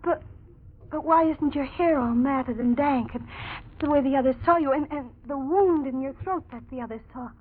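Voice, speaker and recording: A frightened young heroine of an old radio chiller, acted by a woman, with a highish voice and anguished, halting delivery. The 1940s recording is very muffled and thin, with faint hiss. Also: close to an everyday voice. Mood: bewildered. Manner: melodramatic, anguished, hesitant. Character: frightened young woman haunted by a supposed ghost. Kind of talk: 1940s radio thriller acting.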